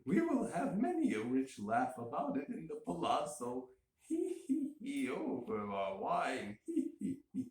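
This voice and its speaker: A man's voice: fairly high pitch, wildly swooping pitch, a little slow, darkish tone, distant mic, pitched above the performer's own voice. A man voicing a drunken, doomed nobleman with forced mirth and desperation, in a gothic story reading. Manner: giggling, breaking words with laughter. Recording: roomy echo, faint hiss.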